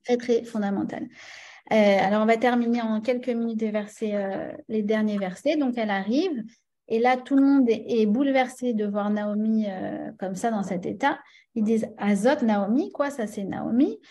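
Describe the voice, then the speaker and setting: Mellow-voiced French woman teaching a class. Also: a little high, normal speed. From France. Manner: chatty, many fillers and restarts, checks in with listeners. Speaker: religious teacher.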